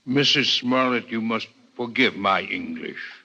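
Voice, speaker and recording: Raspy, bright, formal alien doctor. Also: male voice, lowish voice, animated, a little slow, heavily acted. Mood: formal, courteous. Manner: formal, precise, polite. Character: courteous, learned male alien doctor, bovine-faced. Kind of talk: 1950s radio drama acting. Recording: muffled-edged vintage 1950s radio recording.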